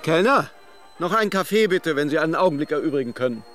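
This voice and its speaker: An actor in a radio drama playing a suave, gossipy holiday idler, musing theatrically with ironic courtesy. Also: male voice, on the high side, animated, fast, fairly bright tone, soft background music. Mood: brisk courtesy. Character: suave, gossipy male holiday idler watching café guests.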